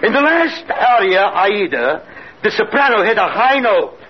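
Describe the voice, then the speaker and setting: An actor playing an insolent, grandiose tavern cook with a Russian accent and formal foreign phrasing in a 1950s radio comedy. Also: male voice, sits high, very animated, measured pace. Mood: pompous, mocking. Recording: dull old radio recording with noise.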